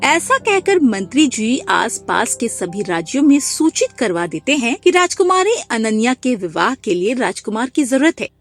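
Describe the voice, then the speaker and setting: Animated female story narrator. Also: fairly high pitch, normal speed, hardly any pauses, soft background music. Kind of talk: scripted children's story narration.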